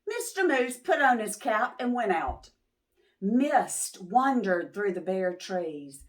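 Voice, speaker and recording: A woman reading a picture book expressively to preschoolers, pausing to question and explain. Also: mid-range voice, sing-song. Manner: pauses to question and explain to young listeners. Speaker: children's storytime host.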